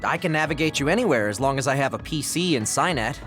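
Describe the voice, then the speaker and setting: An actor playing a brisk, matter-of-fact young male tech operator in a video game. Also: mid-range voice, lively intonation, fairly bright tone, soft background music, natural voice. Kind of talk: video game cutscene acting.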